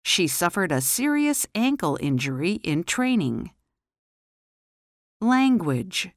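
A woman teaching pronunciation, carefully enunciating single words and example sentences in a slow, deliberate drill. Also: fairly low pitch, sing-song, no echo, studio quality.

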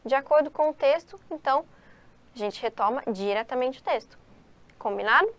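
High-voiced woman teaching exam questions. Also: expressive, normal speed, a bit bright. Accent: Brazilian Portuguese. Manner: explanatory, addresses students, asks and answers own questions. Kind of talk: exam-prep lesson, reading and explaining questions.

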